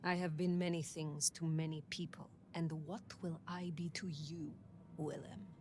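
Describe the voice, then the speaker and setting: A woman voicing a cold, commanding female vampire who questions others calmly, from a video game. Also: low voice, measured pace, slight background noise. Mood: cool, probing. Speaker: game character. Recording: game audio with music score.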